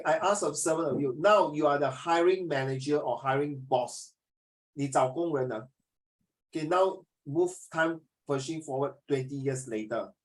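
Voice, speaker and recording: Singaporean guy teaching social studies, lively, rambling, mixes in mandarin. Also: fairly high pitch, low-quality recording. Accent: Singaporean English. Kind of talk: online class teaching.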